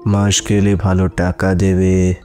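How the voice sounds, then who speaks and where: Scheming elderly male professor, deep and slow, coldly persuasive, for suspense audio drama. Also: completely flat delivery, warm tone, soft background music. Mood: smoothly coaxing. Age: older. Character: aging, scheming professor who procures girls for traffickers. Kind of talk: Bengali audio drama acting.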